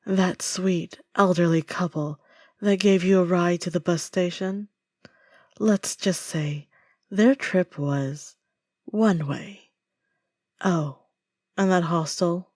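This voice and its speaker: A woman playing a possessive female villain in an intimate audio roleplay, falsely sweet, deliberate and coldly menacing. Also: lowish voice, darkish tone, no room sound, clean studio sound. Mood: menacing, mock sweet. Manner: slow, deliberate, veiled threats with endearments.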